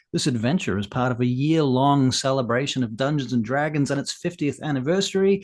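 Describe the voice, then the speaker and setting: A co-host of a tabletop gaming show chatting casually and reading out news in a jokey, informal way. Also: mid-range voice. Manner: jokey, animated, swears casually.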